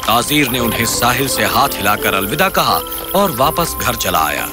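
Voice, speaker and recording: Man, children's story narrator, medium pitch, expressive swings, music behind.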